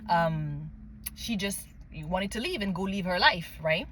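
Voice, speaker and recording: A woman's voice: on the low side, lively intonation, a little slow, a bit bright, poor audio. French-accented woman talking over background noise. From France and Mali. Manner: emphatic, often checks in with "okay?" and "right?". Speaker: spiritual vlogger sharing personal story. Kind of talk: casual unscripted personal storytelling.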